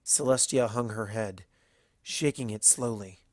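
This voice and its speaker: A man's voice: fairly low pitch, a little slow, dry recording, clean studio sound. A man reading scripted fantasy fiction aloud as an audiobook-style narrator.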